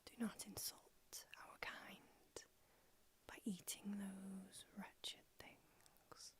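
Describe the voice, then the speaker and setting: A woman whispering in an ASMR roleplay as an ancient, aloof female vampire doctor, unhurried and drily haughty. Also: medium pitch, monotone. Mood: languid haughty. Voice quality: whispered throughout. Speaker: ASMR creator.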